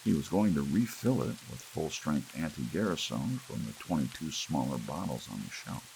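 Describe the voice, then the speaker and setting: A man reading a story aloud as an audiobook narrator, in a relaxed bedtime style. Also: rumbling low, normal speed, creaky, poor audio. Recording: faint hiss and rain sound. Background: soft rain.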